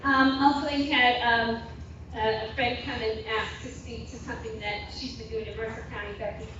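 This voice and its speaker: A woman hosting a local meeting, brisk and bantering. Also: fairly high pitch, level tone, muffled recording. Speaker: meeting host. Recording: distant, very echoey, noisy hall recording.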